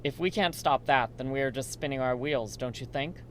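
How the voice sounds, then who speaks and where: A man's voice: mid-range voice, brisk, a little hiss or hum, lightly acted. A man playing a worried, earnest research assistant in a radio drama. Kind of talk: amateur radio drama dialogue.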